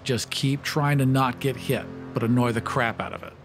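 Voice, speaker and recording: A man's voice: medium pitch, fairly even intonation, normal speed, music in the background. A man talking casually as a player in a tabletop role-playing game.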